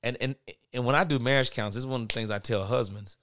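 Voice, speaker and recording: A middle-aged man with a naturally big, forceful voice, talking candidly in a podcast conversation and getting louder when he drives a point home. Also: mid-range voice, fast talker. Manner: earnest and candid, speeds up and swells in volume when making a point. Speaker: guest, father of boys.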